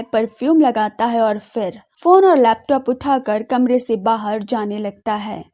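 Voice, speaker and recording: A woman's voice: on the high side, dark tone. A woman narrating a scripted audio drama story as a radio storyteller.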